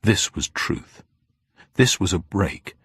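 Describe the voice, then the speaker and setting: A man reading scripted fiction in a dramatic, expressive storytelling manner. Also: low-pitched, slow, breathy edge, dry recording, clean studio sound. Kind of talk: scripted audiobook narration of a detective novel.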